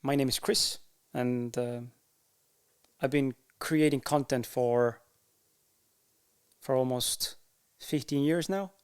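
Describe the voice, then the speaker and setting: A man with an Estonian accent, a podcaster speaking to camera in a candid, unhurried way, with frequent pauses to find his words. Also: mid-range voice, clean tone. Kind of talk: casual talk to camera.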